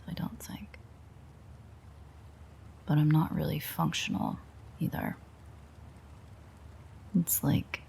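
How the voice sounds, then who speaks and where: A woman playing a weary, sardonic, teasing female vampire in an intimate audio roleplay, with a breathy, husky voice that often drops near a whisper and a dry, sarcastic way of talking. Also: deep voice, darkish tone, full character voice. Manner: dry, rambling, sarcastic banter.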